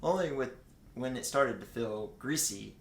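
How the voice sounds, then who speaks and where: A man's voice: medium pitch, animated, measured pace, a little hiss or hum. A man reading a story aloud in a casual, comedic way, giggly and prone to joking asides. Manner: giggly, joking, breaks off to riff. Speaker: guest co-reader of fan fiction. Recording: echoey room.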